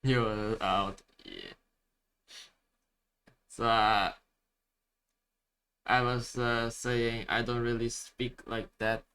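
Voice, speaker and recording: A man's voice: medium pitch, fairly even intonation, drawn-out words, long pauses, sharp tone, smooth. A man with a Malaysian English accent, chatting casually on a live stream and reading replies aloud. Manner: chatty, restarts words, reads answers aloud.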